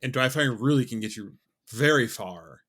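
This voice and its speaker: A young man co-hosting a podcast, talking in a relaxed, rambling way with many fillers. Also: medium pitch, moderate pace. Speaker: podcast co-host. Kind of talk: casual chat.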